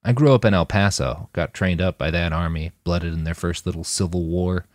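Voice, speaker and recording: A man's voice: low voice, fairly quick, a little mellow, no echo, clean studio sound, close to an everyday voice. A man voicing a friendly, plain-spoken army mechanic in an audiobook, casual and frank. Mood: matter-of-fact, hopeful.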